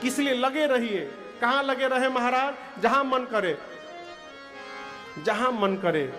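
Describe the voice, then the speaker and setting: A man with a Bhojpuri accent giving a devotional discourse, moving between folksy talk and slow sung bhajan lines over soft music. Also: very high-pitched. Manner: alternates speech and slow devotional singing, playful folksy asides. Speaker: kathavachak preacher.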